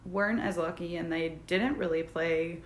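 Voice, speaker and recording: Young woman musing on a podcast, lower voice, bit of faint hiss behind. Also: fairly even intonation, normal speed. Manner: reflective, thoughtful, with fillers. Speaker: podcast co-host. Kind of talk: casual podcast chat. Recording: echoey room with faint noise.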